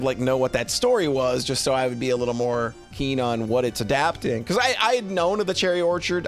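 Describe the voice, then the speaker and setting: A man giving casual, unscripted commentary as a streamer, halting often and repeating or correcting words as they go. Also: a little high. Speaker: streamer reviewing visual novels.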